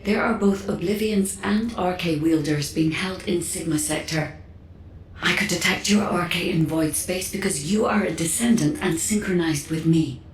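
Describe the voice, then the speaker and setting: A woman voicing a measured artificial guide entity, speaking formally and without emotion in game cutscene dialogue. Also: lowish voice, slight background noise, lightly acted. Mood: calm. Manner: formal, precise, unemotional. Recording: echoey reverberant sound.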